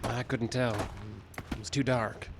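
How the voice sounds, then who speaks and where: An actor playing a deferential, excitable deputy in an old-time radio western. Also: male voice, mid-range voice, a little slow, creaky. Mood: alert, eager. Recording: noisy live stage pickup.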